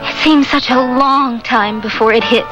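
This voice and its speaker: Actress doing scared young wife in old radio drama, high anxious voice, music behind her. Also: fairly even intonation, moderate pace, muffled recording, close to an everyday voice. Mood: haunted, vivid recounting. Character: frightened young married woman haunted by nightmares. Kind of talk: 1970s-style radio drama acting.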